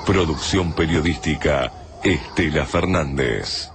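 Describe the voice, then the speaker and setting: Casting: male announcer for serial credits, rock-bottom pitch, slow, measured drama over music. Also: noisy background. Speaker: radio announcer. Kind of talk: scripted credits and promo announcing. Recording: music bed behind announcer.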